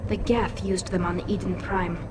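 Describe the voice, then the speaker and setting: A woman's voice: fairly low pitch, steady tone, moderate pace, natural voice. A woman playing a cautious, earnest alien crewmate in a video game. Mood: wary. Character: cautious, wary young alien female crewmate. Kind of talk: video game cutscene dialogue. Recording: loud noise, vehicle-like ambience.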